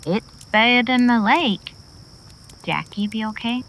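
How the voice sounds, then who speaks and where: A woman playing an uneasy but willing frontier girl with an anxious, hushed manner in a Western radio drama. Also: on the high side, a little slow, slight background noise. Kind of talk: old-time radio Western acting.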